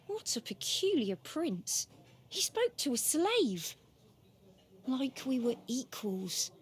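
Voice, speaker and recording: A woman's voice: high-pitched, big pitch swings, slow pace, heavily acted. A woman voicing a timid, humble young servant boy in an audio drama, speaking politely and deferentially. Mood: wondering. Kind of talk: video game audio drama acting.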